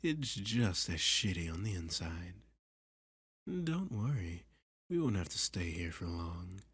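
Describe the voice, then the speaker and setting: Male actor as flirty masked villain, very low pitch, very breathy and husky, slow drawn-out delivery, menacing, teasing, domineering, ASMR roleplay. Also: sing-song, fairly bright tone, no echo, heavily acted. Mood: commanding, teasing. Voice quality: breathy, husky, often whispering.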